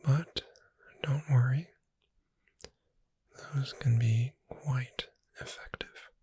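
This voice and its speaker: A performer voicing a gentle, reassuring witch bookseller in a breathy whisper, soothing the listener in an ASMR roleplay. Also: mid-range voice, fairly even intonation, no echo, full character voice. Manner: slow, gentle, soothing, addressing the listener directly.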